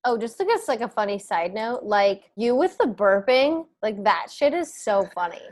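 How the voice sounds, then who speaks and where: A woman's voice: medium pitch, animated, moderate pace. A young woman hosting a podcast in casual chat, irreverent and joking, swearing freely. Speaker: podcast host.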